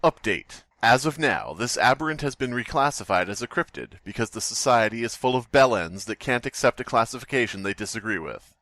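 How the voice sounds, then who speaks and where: A man's voice: mid-range voice, expressive, fairly quick, hardly any pauses, bright, forceful tone, no room sound. A man co-hosting a podcast, chatting casually and reading aloud with irreverent, sweary joking. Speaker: podcast co-host.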